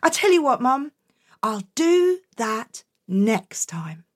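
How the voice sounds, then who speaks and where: A woman voicing a timid, foolish boy who stammers sheepishly and speaks quietly. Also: on the high side, big pitch swings, slow, full character voice. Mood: apologetic.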